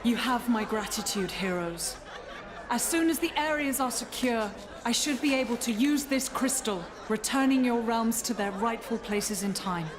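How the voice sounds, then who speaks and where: An actress playing a noble, gently defiant woman in a video game, speaking in an earnest, formal, dramatic way. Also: on the high side, normal speed, a crowd in the background, noisy background, close to an everyday voice. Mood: grateful. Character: villain's wronged lover, noble, gently defiant.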